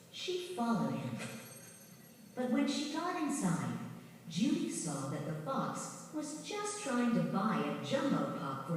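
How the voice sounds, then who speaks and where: A woman narrates a children's storybook in an animated, expressive style at an unhurried pace. The recording is very reverberant and distant, with music playing behind her. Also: mid-range voice.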